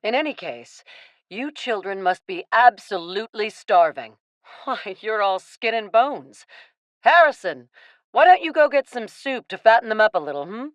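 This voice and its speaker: A woman's voice: medium pitch, big pitch swings, moderate pace, dry recording, close to an everyday voice. Woman playing a sugary, scheming villainess captain. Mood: sly, coaxing. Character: falsely kind, scheming cannibal captain woman. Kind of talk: radio play acting.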